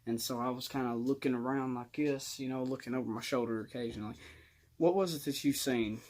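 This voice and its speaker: A man's voice: mid-range voice, brisk, darkish tone. A young man telling a personal story in casual, colloquial speech with some swagger.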